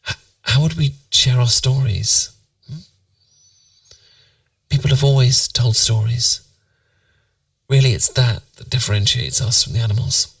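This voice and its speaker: Guy playing a sweet british boyfriend doing sleepy asmr rambles, soft and intimate, lots of vocal fry, no room echo. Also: mid-range voice, recorded from a distance, full character voice. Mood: reflective, soothing. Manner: soft, intimate, soothing, musing, occasionally near whisper. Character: tender, playful boyfriend rambling philosophy at bedtime.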